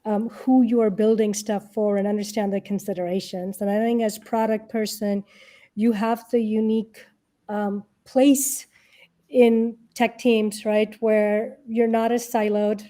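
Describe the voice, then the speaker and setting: A woman's voice: medium pitch, level tone, a little slow, mellow. A middle-aged woman giving casual interview answers in a conversational way, often voicing imagined quotes to make her points. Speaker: interview guest, tech product leader and investor.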